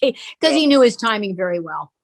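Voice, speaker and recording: Casting: older American woman, interview guest, mid-range voice, reflective storyteller who pauses and repeats for emphasis. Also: medium pitch, sing-song. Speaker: interview guest, daughter of a radio and TV performer. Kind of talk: conversational reminiscence in an interview.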